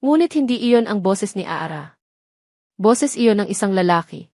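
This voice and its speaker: A woman reading a scripted dramatic story as narrator, measured, with pauses between short phrases. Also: medium pitch, animated, darkish tone, no room sound, clean studio sound.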